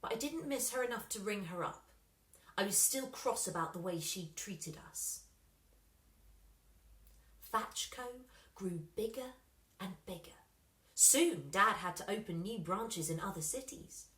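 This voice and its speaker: In a roomy, echoing space, a woman reads a children's story aloud. Her pitch is average for a woman, and she tells it expressively, leaning on key words and pausing for drama. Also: expressive, a bit bright. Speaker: story reader. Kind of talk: scripted children's story narration. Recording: roomy, echoing room sound.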